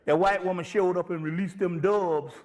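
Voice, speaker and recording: A man with a high-pitched voice speaks as an informal lecturer on spiritual matters. He is emphatic and conversational, talking in plain, salty language and regularly checking that listeners are following him. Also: fairly even intonation, moderate pace, darkish tone.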